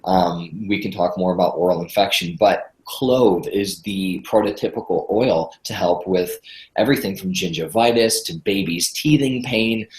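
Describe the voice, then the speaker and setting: A man's voice: sits low, moderate pace, roomy sound, poor audio. A congested man from Michigan, a guest health expert chatting enthusiastically in a podcast interview. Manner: chatty, enthusiastic, repeats words when starting sentences.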